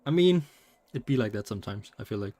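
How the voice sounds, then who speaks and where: A man's voice: on the low side, expressive, quick pace, mellow. A man from Denmark with a Danish accent, chatting casually on a live stream, rambling with many fillers and restarts.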